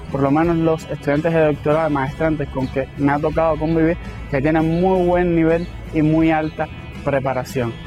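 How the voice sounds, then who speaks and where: Cuban-accented man, slightly high voice, formal, faint guitar music. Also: darkish tone. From Cuba. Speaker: graduate student. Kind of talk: interview testimonial.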